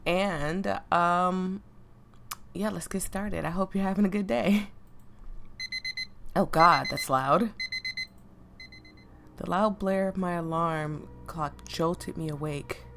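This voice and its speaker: A woman with a deep voice that sounds sick, chatting casually and reading game text aloud as a let's play host, easily amused and stumbling over words. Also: slow, gravelly, long pauses, a bit bright, a little hiss or hum. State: sick, voice affected.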